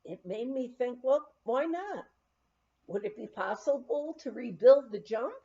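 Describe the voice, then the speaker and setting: A woman's voice: a little high, expressive, measured pace, dark tone. A woman reading a memoir aloud as an audiobook narrator, on a slightly dull recording. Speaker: audiobook reader.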